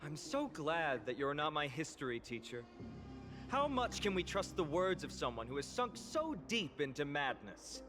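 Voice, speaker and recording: An actor playing a proud, composed young warrior in a video game, speaking formally and earnestly. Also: male voice, fairly high pitch, sing-song, moderate pace. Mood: defiant. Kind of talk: dramatic video game acting. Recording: game music behind voice.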